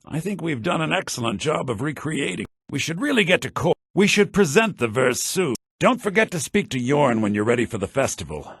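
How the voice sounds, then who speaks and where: Earnest, husky bard. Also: male voice, fairly high pitch, big pitch swings, fairly quick, hardly any pauses, fairly bright tone, no echo, studio quality, exaggerated voice. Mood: anxious, keen. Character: earnest, fussy male bard preparing a festival verse. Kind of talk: fantasy video game acting.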